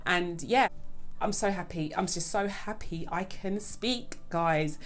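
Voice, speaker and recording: A woman's voice: on the low side, takes it slowly, soft background music. A woman hosting her own budgeting channel, talking casually and chattily to her viewers. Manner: chatty, keeps addressing viewers as "guys". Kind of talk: casual vlog chat.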